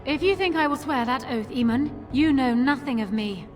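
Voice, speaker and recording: A woman's voice: sits high, moderate pace, lightly acted. A woman playing a proud, defiant queen in a hall. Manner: haughty, determined. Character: proud, defiant, cold queen clinging to power. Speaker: actor in a fantasy role-playing game. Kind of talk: dramatic game cutscene dialogue. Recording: long hall reverb, music underneath.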